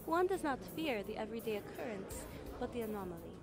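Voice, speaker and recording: A woman's voice: a little high, very animated, quick pace, a crowd in the background. A woman playing a polite, earnest young military analyst who explains things carefully in a radio drama. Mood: earnest, informative. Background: loud music.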